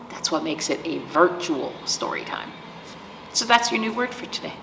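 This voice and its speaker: A woman's voice: mid-range voice, fairly bright tone. A woman hosting storytime, reading picture books aloud to young children with simple explanations and questions. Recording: roomy, distant mic, faint noise.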